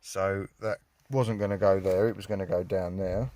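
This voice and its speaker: Low-voiced British man, rambling and wry. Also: fairly even intonation, moderate pace, heavy background noise. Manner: rambling, wry, self-mocking grumbling. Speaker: hobbyist filming a car radio install. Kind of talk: casual DIY vlog chat. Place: inside a car.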